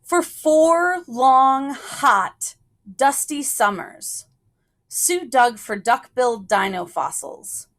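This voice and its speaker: A woman reading a children's picture book aloud in a storytelling style, with measured phrasing and deliberate pauses. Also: high-pitched, animated, fairly bright tone.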